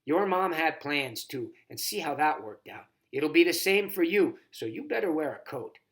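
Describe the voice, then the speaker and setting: A man's voice: fairly high pitch, lively intonation, moderate pace, a bit bright. A man voicing a stern, nagging old grandmother in a storybook, insistent and scolding. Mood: stern, bitter.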